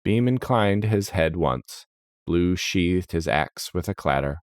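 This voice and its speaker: A man's voice: low-pitched, expressive, slow pace, no echo, studio quality. A man reading scripted fantasy story narration.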